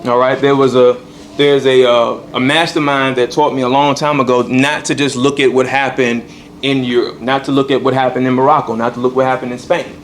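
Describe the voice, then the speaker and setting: A man's voice: mid-range voice, flat delivery, fairly quick, a little hiss or hum. Man teaching a temple class. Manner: call-and-response, repeats points with "all right". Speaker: religious teacher. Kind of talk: temple lesson to a congregation. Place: temple hall.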